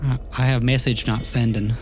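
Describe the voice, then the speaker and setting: A man's voice: medium pitch, level tone, normal speed, a little mellow, faint music behind. A man playing a tabletop roleplay game, talking casually in character.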